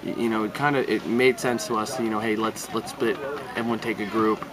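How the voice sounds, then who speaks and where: A man's voice: lowish voice, fairly even intonation, brisk, crowd noise. A man in his thirties, a paintball coach, giving a casual, informal interview outdoors. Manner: informal, peppered with "you know". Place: outdoors by a fence and parking lot. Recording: noisy outdoor recording with traffic.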